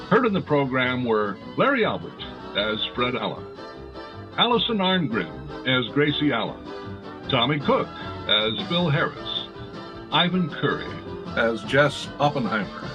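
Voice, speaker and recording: An actor playing a genial, blunt announcer sidekick in an old-time radio comedy style. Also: male voice, mid-range voice. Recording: slightly dull radio-style audio.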